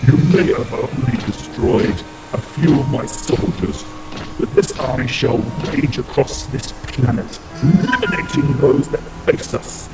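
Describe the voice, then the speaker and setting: A man's voice: mid-range voice, echoey room, distant mic, very noisy, lightly acted. A man playing a cold, menacing alien robot commander bent on conquest in an audio drama, speaking in formal, grandiose villain style. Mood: wrathful, commanding.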